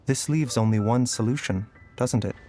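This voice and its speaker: An actor playing a gentle young doctor in a game, speaking in a calm, measured, reflective way. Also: male voice, mid-range voice, faint music behind, lightly acted.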